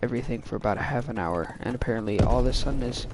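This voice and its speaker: A man's voice: mid-range voice, racing, heavy background noise. A young man telling a casual, rambling storytime into a microphone, full of fillers and restarts. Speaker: storytime vlogger. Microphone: desk microphone. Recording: loud game music underneath.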